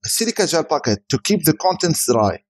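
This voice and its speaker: A man's voice: a little high, big pitch swings, a little fast. A man who is a native Arabic speaker, giving a scripted voice-over product review as a tech reviewer.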